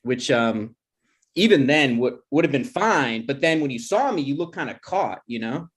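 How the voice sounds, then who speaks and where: A man's voice: mid-range voice, lively intonation, moderate pace, natural voice. A man playing an insecure, jealous boyfriend and grad student in a comedy table read, speaking in a hesitant, stammering way. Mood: uneasy, defensive. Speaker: actor in a screenplay table read.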